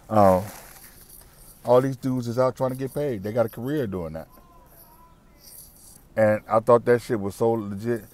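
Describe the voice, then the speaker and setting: A man's voice: lowish voice, a little mellow. A middle-aged man vlogging from a parked car through an earbud mic, ranting bluntly with slang and repeating his points. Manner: blunt, repetitive, preachy rant with slang and cussing. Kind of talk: casual commentary to camera. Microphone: wired earbud mic.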